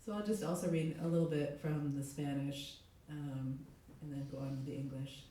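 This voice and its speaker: A middle-aged woman, a literary translator, reading aloud at a live event, her voice hoarse with a cold. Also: sits low, steady tone, normal speed, dark tone, a little hiss or hum. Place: echoey indoor event space. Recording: very echoey room, distant mic.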